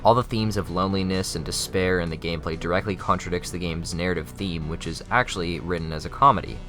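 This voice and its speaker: A man's voice: sits low, steady tone, fast talker. A young man reading scripted narration as the presenter of a video essay. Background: faint music.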